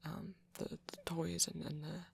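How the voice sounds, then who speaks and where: Woman playing a shy vampire guy for asmr, super breathy and creaky, nervous stammering, apologetic. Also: low voice, flat delivery, measured pace, full character voice. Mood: awkward, self-conscious. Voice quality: soft, breathy, often half-whispered. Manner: hesitant, stammering, self-conscious. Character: shy, anxious, apologetic young-looking male vampire, softly nerdy. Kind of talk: ASMR roleplay monologue to a listener.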